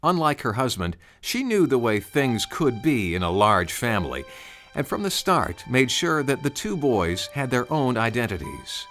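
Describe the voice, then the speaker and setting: A male narrator tells a scripted storybook tale at a middle pitch. His delivery is dramatic and lively in intonation, with music clearly playing behind him. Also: moderate pace. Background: music under the narration.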